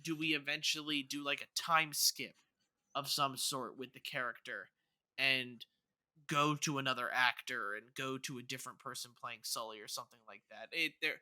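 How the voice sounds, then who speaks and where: A man's voice: medium pitch, normal speed, pushed and bright. A man co-hosting a podcast in casual discussion, earnestly rambling as he thinks aloud. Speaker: podcast co-host.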